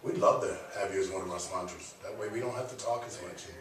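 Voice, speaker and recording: A man's voice: sits low, fast talker, a crowd in the background. A man hosting a local radio talk show, chatting casually in a joking, teasing, playful way. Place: radio studio. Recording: echoey room, distant mic, faint noise.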